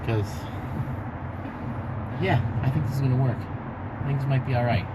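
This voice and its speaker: A man's voice: mid-range voice, fairly even intonation, moderate pace, heavy background noise. A young man with a sore throat, losing his voice, talking casually to his own camera in a travel vlog, thinking aloud with lots of fillers. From Texas. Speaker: vlogger. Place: pickup truck cab while driving. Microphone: phone or action camera, self-filmed. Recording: loud music over the voice. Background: music bed.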